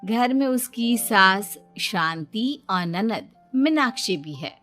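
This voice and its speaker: A woman's voice: medium pitch, lively intonation, takes it slowly, fairly bright tone, faint music behind. A woman reading scripted narration for a story in a storytelling style.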